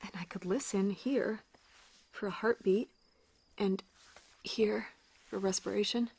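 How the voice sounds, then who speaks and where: An actress playing a gentle, probing children's doctor in an audio drama, soft-spoken, hesitant and full of questions. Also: female voice, medium pitch, fairly even intonation, a little slow, creaky, husky. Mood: careful, probing. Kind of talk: naturalistic audio drama acting.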